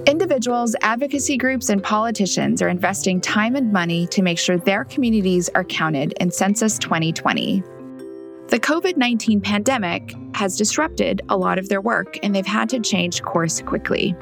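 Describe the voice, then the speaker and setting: A woman's voice: medium pitch, lively intonation, moderate pace, gravelly, faint music behind. A woman narrating a scripted documentary podcast as its host.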